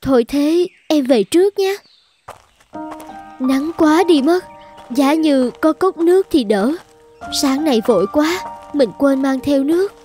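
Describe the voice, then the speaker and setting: An actress voicing a gentle, self-sacrificing young peasant mother in a Vietnamese cartoon, speaking tenderly. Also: high-pitched, animated, slow pace, long pauses. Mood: weary. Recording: loud background music. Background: music.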